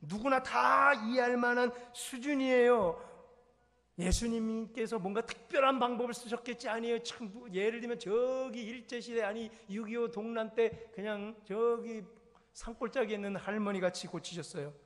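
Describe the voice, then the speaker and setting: Male pastor, high voice, animated storytelling sermon, long church reverb. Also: normal speed. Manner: animated, acts out little scenes and quotes. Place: church sanctuary.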